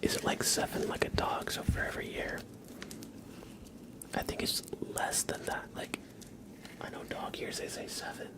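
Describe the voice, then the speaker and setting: A man hosting a casual ASMR livestream, chatting in a breathy, gentle, unhurried whisper and softly repeating phrases. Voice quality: breathy whisper with creaky edges. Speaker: live streamer, ASMR host.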